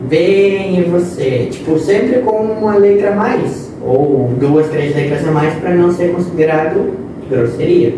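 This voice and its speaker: A man's voice: high voice, a little slow. A young man in his twenties, a guest on an interview show, explaining his experiences with examples in casual conversation. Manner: explains with examples and quoted phrases. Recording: echoey room, distant mic, noisy.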